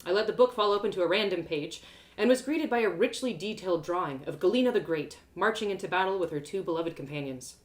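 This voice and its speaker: A woman reading a fantasy story aloud in an expressive, first-person narrating style. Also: on the low side, quick pace.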